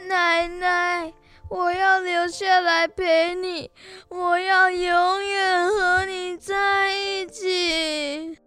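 A woman's voice: very high voice, level tone, very slow, pushed and bright, faint music behind, heavily acted. A young female performer acting a tender-hearted, loyal young boy in a radio drama, with a Taiwanese Mandarin accent. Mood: tearful. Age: young adult.

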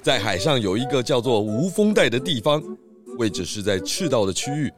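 A man playing a calm, wise pig detective who explains things patiently in a children's audio drama. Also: mid-range voice, fairly quick, over loud music, close to an everyday voice. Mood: patient, reasoning.

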